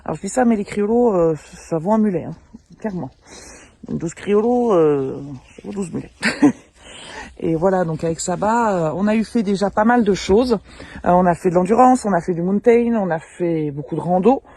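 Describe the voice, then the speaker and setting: A woman in her thirties from the French Alps, who raises mules as an amateur, introducing herself casually outdoors in a field beside her animals and pausing often to hesitate. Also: mid-range voice, expressive, dark tone, no echo. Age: 30s. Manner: hesitant, frequent filler pauses. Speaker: amateur mule breeder. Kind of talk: casual self-introduction to camera.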